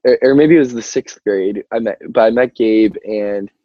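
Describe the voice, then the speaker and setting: Low-voiced young American man, muffled audio. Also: moderate pace. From Albany, New York, United States. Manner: rambling, peppered with "like" and "you know", laughs easily. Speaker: band singer, interview guest. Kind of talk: casual chat. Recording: muffled, roomy call-like audio.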